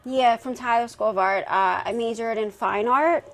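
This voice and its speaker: A woman in her forties from Pennsylvania, a firefighter guest chatting casually in a podcast interview, relaxed and quick to laugh, with plenty of ums and uhs. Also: on the high side. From Pennsylvania, United States. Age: 40s. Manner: relaxed, laughs easily, many um and uh fillers.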